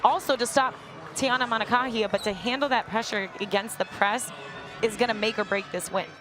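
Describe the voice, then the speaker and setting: A woman's voice: fairly high pitch, fairly even intonation, brisk. A woman working as color commentator, giving live analysis of a basketball game. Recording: loud crowd and music behind. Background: basketball crowd, music and bouncing.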